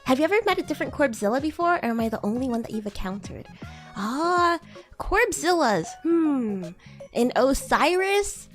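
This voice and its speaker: A woman's voice: high voice, sing-song, moderate pace, soft background music. A woman voicing a bratty, boastful young demon schoolgirl in an improvised roleplay stream, teasing and haughty with flustered stammers. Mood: chatty, hesitant. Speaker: VTuber streamer. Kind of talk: improvised roleplay livestream chatting with viewers.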